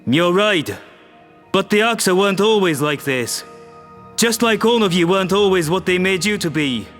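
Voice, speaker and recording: A man's voice: high voice, animated, moderate pace, a bit bright, soft background music. A man voicing a human diplomat making an earnest, persuasive speech to an alien assembly.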